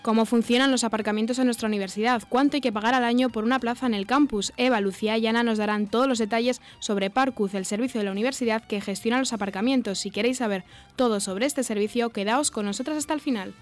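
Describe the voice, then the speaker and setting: Spanish lady from spain hosting a radio show, reading the intro fast, guitar music under her. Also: on the high side. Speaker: radio program host. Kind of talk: scripted radio headlines introduction.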